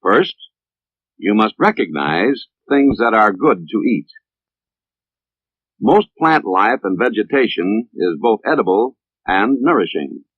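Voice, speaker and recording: A man's voice: on the low side, no room sound. Tinny 1950s American male film narrator. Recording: tinny, band-limited 1950s film soundtrack.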